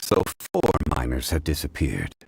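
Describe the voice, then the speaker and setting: A man voicing a composed, learned gentleman investigator in a video game, formal, measured and analytical in his delivery. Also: a bass voice, very animated, creaky, a little hiss or hum, heavily acted, low-quality recording. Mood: calm, inquiring. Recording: music behind the voice. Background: game music.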